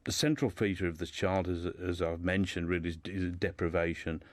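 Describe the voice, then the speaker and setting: A man's voice: sits low, moderate pace, dry recording. A man speaking as a guest in a radio discussion, telling a life story informally and often repeating words as he builds his sentences.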